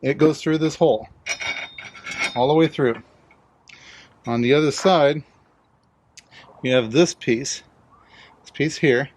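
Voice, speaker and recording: A man's voice: fairly high pitch, slow pace, pauses often. Man giving hands-on tutorial over background noise. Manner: plain-spoken, step-by-step. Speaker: DIY install demonstrator. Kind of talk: casual hands-on tutorial narration.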